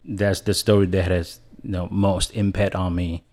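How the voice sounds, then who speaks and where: A man in his thirties from Taiwan with a Taiwanese accent, a native Chinese speaker, talking haltingly as a guest in a radio studio interview. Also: low voice, flat delivery, darkish tone. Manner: halting, with restarts and fillers. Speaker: guest filmmaker. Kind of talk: casual interview.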